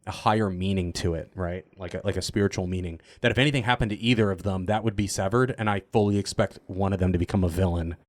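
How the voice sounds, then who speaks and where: Low-voiced, wry man on a panel. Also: steady tone, fast talker, few pauses, dry recording. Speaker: cast member. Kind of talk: casual panel Q&A.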